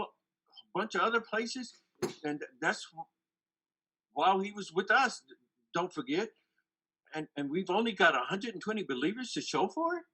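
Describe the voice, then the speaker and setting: A man playing an excitable, incredulous biblical disciple in a church dramatic reading, agitated, stammering and insistent. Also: sits high, heavily acted, poor audio.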